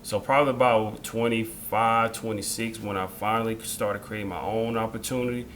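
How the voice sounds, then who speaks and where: A man in his thirties hosting an interview, talking in a relaxed, conversational way that often restarts its phrases. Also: lowish voice, normal speed, slight background noise. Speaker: interview host. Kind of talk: casual interview talk.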